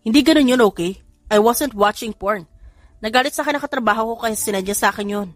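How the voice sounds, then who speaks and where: A woman's voice: a little high, quick pace, faint music behind, close to an everyday voice. Woman voicing an irritable, indignant young husband. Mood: defensive. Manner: indignant, blunt, mixing Tagalog and English. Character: irritable, exasperated young male hacker husband, defensive and offended.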